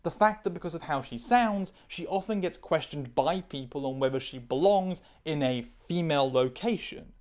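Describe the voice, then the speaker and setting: A woman's voice: low-pitched, darkish tone. A woman reading her own scripted video essay, candid, opinionated and analytical. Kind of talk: scripted commentary.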